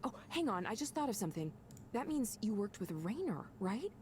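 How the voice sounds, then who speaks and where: A woman's voice: mid-range voice, sing-song, a little fast, a little mellow, a little hiss or hum, natural voice. An actress playing a friendly, starstruck woman manager in a video game, chatty, earnest and reassuring. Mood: curious. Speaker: manager of counseling centers.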